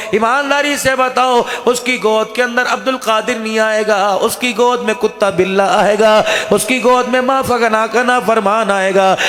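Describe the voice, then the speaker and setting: Guy preaching an islamic sermon, really high voice, super emphatic, big echoey reverb. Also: sharp tone. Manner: emphatic, drawn-out rhetorical questions and repetition. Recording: echoey hall with long reverb.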